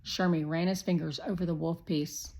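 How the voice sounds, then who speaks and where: A woman's voice: sits low, steady tone, moderate pace, a little mellow. A woman narrating a scripted children's story as a read-aloud.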